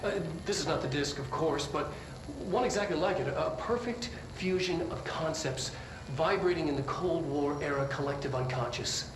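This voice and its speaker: Actor as an eccentric, higher-voiced male scientist in an echoey room. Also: moderate pace, lightly acted. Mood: excited, wry. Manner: theatrical, pedantic lecturer with wry asides. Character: eccentric, enthusiastic male government scientist giving presentations. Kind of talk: filmed scientific presentation. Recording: roomy echo with clear background noise.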